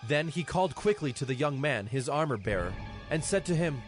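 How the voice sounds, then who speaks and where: A man narrating scripture from a script in a measured, solemn manner. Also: on the high side, expressive, faint music behind.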